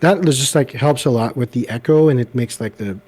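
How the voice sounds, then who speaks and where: A man whose first language is French, chatting casually about making videos; his voice is slightly gravelly, he hesitates and fills with "like", and he is picked up on a laptop microphone. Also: medium pitch, a little fast, a little mellow. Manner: hesitant, many "like" fillers. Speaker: guest video creator. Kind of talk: casual podcast chat.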